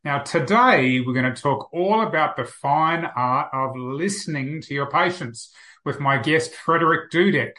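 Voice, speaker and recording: Man, podcast host, bright crisp voice, upbeat, brisk questions. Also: fairly high pitch, lively intonation, low-quality recording. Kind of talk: interview hosting.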